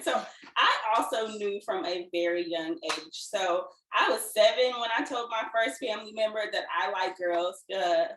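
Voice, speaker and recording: A woman's voice: medium pitch, a bit bright, reverberant, distant mic, slight background noise, poor audio. A woman in her twenties, a podcast guest, talking in a playful, giggly way. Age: 20s. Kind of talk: casual chat.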